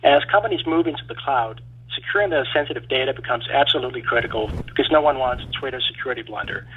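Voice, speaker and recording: Fast-pitching man on a tinny phone line. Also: slight background noise. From Denmark. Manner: steady, rapid, read-through delivery. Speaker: startup founder pitching as a caller. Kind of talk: rehearsed sales pitch. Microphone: phone call.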